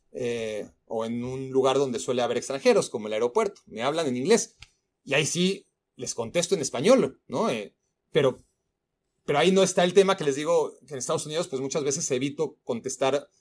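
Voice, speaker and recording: Mexico city guy on his football podcast, kinda high voice, rambly, lots of este and swearing. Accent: Mexico City Spanish. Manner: rambling, frequent fillers and profanity. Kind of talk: casual monologue.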